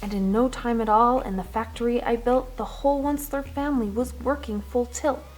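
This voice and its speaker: A woman reading a rhymed children's storybook aloud in an expressive, rhythmic way with dramatic emphasis. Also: on the high side, moderate pace. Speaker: children's storybook reader, teacher.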